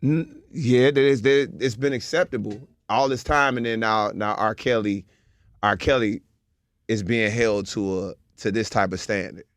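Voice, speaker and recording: A man's voice: on the low side, steady tone, normal speed, no room sound. Male podcast co-host, casual, dry close mic, headline reading. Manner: reads headlines, then hedges his opinions. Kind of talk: casual group chat.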